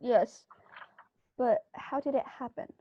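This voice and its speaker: A woman playing a flustered, excitable country gentleman in a radio play, full of fussy exclamations. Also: on the high side, takes it slowly, highs cut off, a little hiss or hum. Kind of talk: amateur radio play acting.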